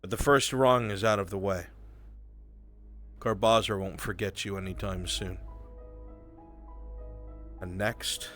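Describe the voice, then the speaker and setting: A man working as game master, narrating a live roleplay game in the second person with brooding, deliberate delivery and dramatic pauses, over background music. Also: lowish voice, fairly even intonation, a bit bright. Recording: music behind the voice.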